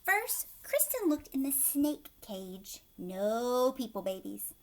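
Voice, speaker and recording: A woman teacher reading a storybook aloud to children, expressive and addressing young listeners directly. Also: on the high side, slow, slight background noise.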